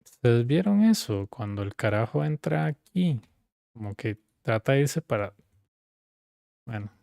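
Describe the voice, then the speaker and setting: A man's voice: medium pitch, animated, normal speed, creaky, dark tone, dry recording, clean studio sound. A man streaming games and chatting casually, with informal, slangy banter. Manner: informal, slangy banter, switching between Spanish and English. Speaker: live streamer. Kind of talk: casual gaming stream chat.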